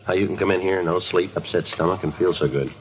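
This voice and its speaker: A man playing a terse, no-nonsense homicide detective with deadpan, clipped 1950s radio delivery. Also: low-pitched, quick pace, natural voice. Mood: dry, businesslike. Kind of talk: 1950s radio acting, clipped first-person narration. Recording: noisy, muffled 1950s radio recording.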